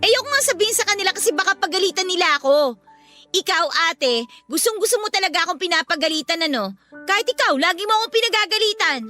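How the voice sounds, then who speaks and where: Defiant teen sister, eighteen, for radio drama: very high, bright voice, sarcastic and whiny. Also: female voice, expressive, quick pace, soft background music, exaggerated voice. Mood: sulky, sarcastic. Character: bratty, defiant eighteen-year-old younger sister. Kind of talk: Filipino radio drama acting.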